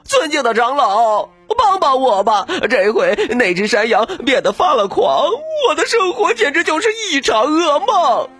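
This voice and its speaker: A man voicing a desperate poor peasant who pleads in a high, sobbing wail. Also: very animated, moderate pace, few pauses, a bit bright, slightly rough, faint music behind, exaggerated voice. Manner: high wailing pleas, near sobbing.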